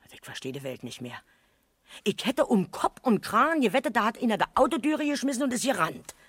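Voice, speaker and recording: Husky, chatty Berlin woman witness. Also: fairly high pitch, big pitch swings, brisk, dry recording, studio quality. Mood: puzzled, chatty. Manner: colloquial, garrulous, emphatic. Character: chatty, excitable female neighbourhood witness, eager amateur sleuth. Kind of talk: 1970s German radio crime drama acting.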